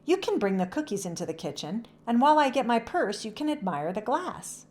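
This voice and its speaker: A woman's voice: on the high side, very animated, a little fast, a little mellow, voice pushed up. A woman voicing a gracious, welcoming grown-up lady in a children's storybook, polite and effusive. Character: gracious, tall, well-dressed welcoming woman.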